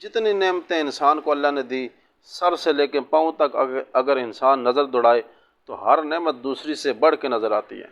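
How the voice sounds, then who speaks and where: Male cleric delivering religious rulings, medium pitch, instructive and measured, on a dull lo-fi recording. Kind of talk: religious sermon answering a question on Islamic rulings.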